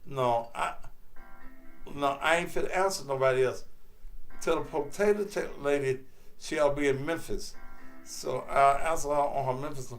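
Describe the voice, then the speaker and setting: A man's voice: medium pitch, moderate pace, pauses often, soft background music. A man hosting a live call-in show, teasing callers and calling them baby. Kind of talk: casual live call-in banter.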